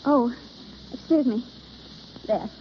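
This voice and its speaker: Eager amateur ingenue, vintage radio play. High voice, halting, apologetic, earnest, dull aged recording. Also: female voice, a little hiss or hum. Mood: nervous, emotional. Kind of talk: old-time radio drama acting.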